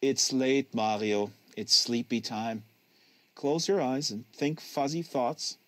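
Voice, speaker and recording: Man voicing teenage older brother, weary, dry, measured, close dry sound, storybook reading. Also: medium pitch, no room sound, natural voice. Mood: weary, soothing. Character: tired, patient, wry teenage older brother. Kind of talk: novel reading.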